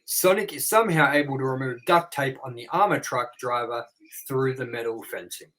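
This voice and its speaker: A man's voice: mid-range voice, a little fast, fairly bright tone. A man co-hosting a movie review show, reading trivia and goofs from his notes in a casual way and swearing offhandedly.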